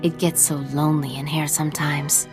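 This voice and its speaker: A woman's voice: sits low, little pitch movement, moderate pace, natural voice. A woman playing ancient playful female spirit, teasing and whimsical, music backing.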